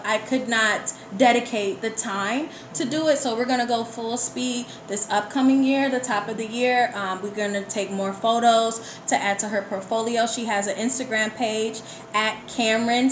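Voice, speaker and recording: A woman from the United States, a guest poet in a casual interview, talking candidly and conversationally about personal things. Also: on the high side. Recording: echoey room with background noise.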